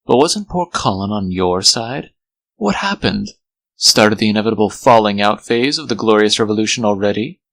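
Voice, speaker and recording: A man's voice: on the low side, animated, moderate pace, creaky, a bit bright, no echo. A man voicing a sly talking fox in a comic dub, glib and sarcastic. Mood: sly, mocking.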